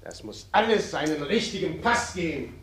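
An actor in a German radio play, playing a defiant, hot-headed weaver with a cheeky, combative manner. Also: male voice, a little high, very animated, moderate pace, creaky, noisy background.

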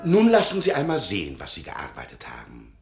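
Deep-voiced, theatrical old archivist-magician. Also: male voice, wildly swooping pitch, brisk, roomy sound, soft background music. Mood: brisk, pleased. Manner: theatrical, emphatic, solemn. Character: eccentric, imposing older male archivist and secret magician. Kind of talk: radio play acting.

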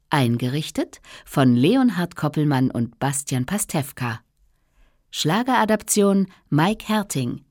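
A woman's voice: sits low, a little mellow, dry recording, clean studio sound. A woman reading closing credits as a scripted radio announcement.

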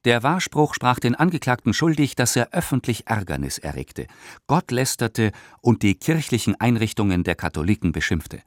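A man reading historical letters and documents for a radio play in a theatrical, measured manner, close to a studio microphone. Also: medium pitch, dry recording.

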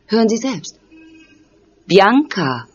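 A woman's voice: medium pitch, big pitch swings, takes it slowly, noisy background. An actress playing a worried, polite mother in a German audio drama. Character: worried mother receiving investigators. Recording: dull band-limited audio.

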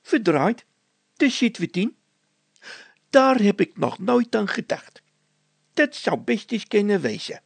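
A man voicing an excitable, doubtful workman in a storybook, exclaiming and grumbling. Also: sits high, takes it slowly, vocal fry, pauses often, breathy edge, no echo, voice pushed up, exaggerated voice. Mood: excited, bossy.

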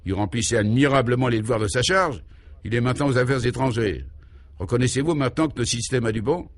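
A man's voice: mid-range voice, fast, close to an everyday voice. A man voicing a self-assured local who proudly explains an absurd government in a pompous, emphatic way, in a comic radio serial. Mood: matter-of-fact, proud. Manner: pompous, mock-official, emphatic.

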